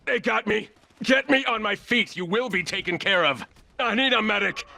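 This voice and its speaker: An actor playing a ruthless, arrogant corporate executive turned combat operator in a video game, speaking in clipped, commanding, coolly condescending lines. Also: male voice, high voice, expressive, moderate pace, vocal fry, sharp tone, exaggerated voice. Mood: urgent, forceful. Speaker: playable military shooter operator.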